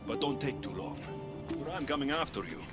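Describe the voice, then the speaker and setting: A man's voice: medium pitch, big pitch swings, brisk, loud music behind the voice, lightly acted. Intense Greek mercenary hero acted by a man. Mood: urgent, determined. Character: determined, fierce male mercenary hero of ancient Greece. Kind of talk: dramatic video game acting.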